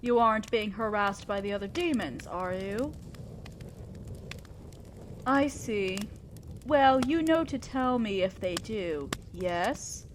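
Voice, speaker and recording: A woman's voice: mid-range voice, animated, slight background noise. A woman playing an imperious, old-fashioned female demon lord in an audio roleplay, speaking formally and with condescending concern to the listener. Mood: stern, probing. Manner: commanding, formal, measured, condescending yet concerned. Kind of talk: scripted roleplay monologue addressed to the listener.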